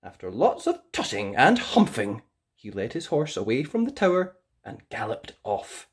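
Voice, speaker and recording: A woman narrating a children's fairytale as an expressive storyteller. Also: very deep voice, normal speed, husky.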